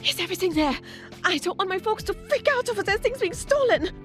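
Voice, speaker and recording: Woman playing a very high-voiced sweet young lady. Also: very animated, a little fast. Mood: anxious. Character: sweet young lady, excitable and easily upset. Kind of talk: audio drama character acting. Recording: music under the voice.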